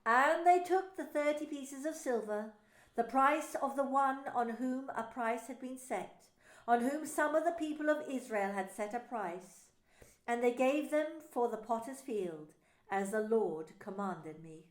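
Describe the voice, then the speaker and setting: A woman's voice: fairly high pitch, unhurried. A woman minister narrating a dramatised scripture story, telling it expressively. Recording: roomy echo.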